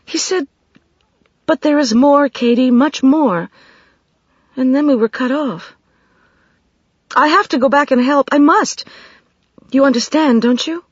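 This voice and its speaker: A woman's voice: high-pitched, measured pace, long pauses, no echo, pitched above the performer's own voice. A woman reading an audiobook, voicing an anxious young woman who blurts everything out in a rush, pleading and upset. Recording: dry, slightly dull studio recording.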